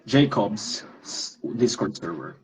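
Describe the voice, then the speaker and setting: A man's voice: on the low side, animated, slow. A 19-year-old man from Romania, a guest on a podcast who talks earnestly and with care about his work over a video call. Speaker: guest, digital creator. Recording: dull lo-fi call audio.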